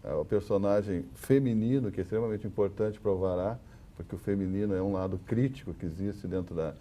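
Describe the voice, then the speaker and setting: A man with a Brazilian accent speaking as an academic guest on a discussion panel, explaining haltingly and often repeating words. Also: deep voice, warm tone.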